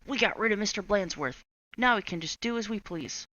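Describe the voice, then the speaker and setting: A woman voicing a group of excited schoolchildren in a storybook reading. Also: medium pitch, a little fast, dull audio, pitched above the performer's own voice, close to an everyday voice. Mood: gleeful. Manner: squealing, eager.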